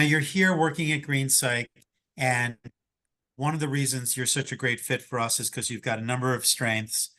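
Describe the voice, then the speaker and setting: American guy from new york hosting an interview on a video call, medium pitch. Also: a bit bright. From New York, United States. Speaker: host introducing a new team member.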